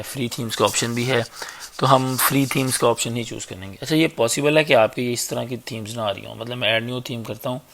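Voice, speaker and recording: A man with a sore, hoarse throat giving a casual, chatty screen-recorded web design tutorial on a basic mic. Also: medium pitch, a bit bright. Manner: casual, chatty, fills gaps with "okay" and "theek hai". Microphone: basic mic, a better one on order.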